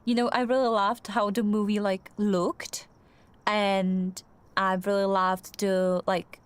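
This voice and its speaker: A woman's voice: mid-range voice, flat delivery, slow, clean tone. A young woman with a Czech accent chatting casually while streaming a game, hesitant and full of fillers and restarts. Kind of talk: casual chat while gaming.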